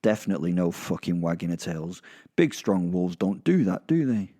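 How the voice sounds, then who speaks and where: A man telling first-person dark comedy horror stories in a sardonic, conversational, sweary manner as a petty werewolf. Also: low voice, big pitch swings, moderate pace. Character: petty, sardonic werewolf narrating his own misdeeds. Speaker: podcast host and storyteller narrating in character.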